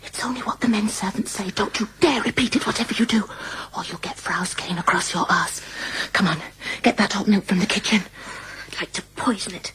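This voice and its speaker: An actress playing a furtive, gossiping servant, speaking in hushed whispery tones in a radio drama. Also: female voice, mid-range voice, steady tone, a little fast, a little hiss or hum, lightly acted, low-quality recording. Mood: hushed, conspiratorial.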